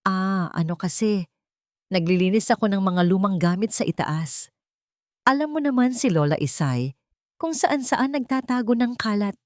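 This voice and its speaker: A woman voicing a cruel, scheming young fiancée who turns from sharp threats to false sweetness. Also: mid-range voice, lively intonation, normal speed, dry recording, studio quality, natural voice. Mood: nervous.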